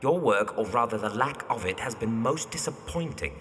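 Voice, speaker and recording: An actor playing a stiff, easily offended young gentleman in a period audio drama, formal and prickly. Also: medium pitch, expressive, moderate pace, big-room sound, close to an everyday voice. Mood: indignant. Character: stiff, indignant young gentleman architect Somerset.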